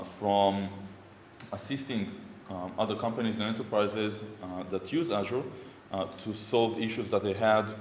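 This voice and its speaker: A man's voice: lowish voice, a little hiss or hum. A man in his thirties with an Israeli accent, giving an informal technical talk at a conference with many ums and uhs. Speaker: conference speaker. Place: conference room with projector screen. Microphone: far room mic. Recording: echoey hall from far off.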